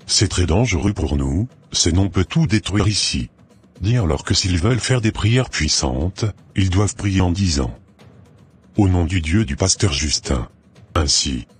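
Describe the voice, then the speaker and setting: Man acting as evil occult master, cartoon villain, very deep, commanding, menacing, faint music behind. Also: breathy edge, full character voice. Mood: stern, commanding. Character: commanding male evil occult master scheming to destroy church members. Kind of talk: dramatic animated dialogue.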